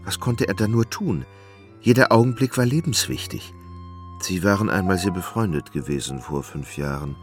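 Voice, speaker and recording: A man with a very low, creaky voice narrates a literary radio drama. He tells the story in a measured way, with faint music behind him. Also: expressive.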